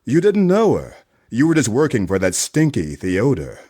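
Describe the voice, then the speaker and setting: A man's voice: mid-range voice, huge pitch swings, moderate pace, mellow, husky. A man playing a dogged, wordy private detective with theatrical hardboiled delivery in a comedic noir radio play. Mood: probing. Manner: theatrical, hardboiled first-person narration and probing questions.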